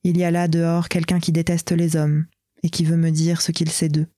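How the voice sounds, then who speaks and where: A woman's voice: low voice, level tone, moderate pace, mellow, dry recording, studio quality, close to an everyday voice. A woman playing a defiant young water sprite. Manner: impassioned, declamatory stage delivery.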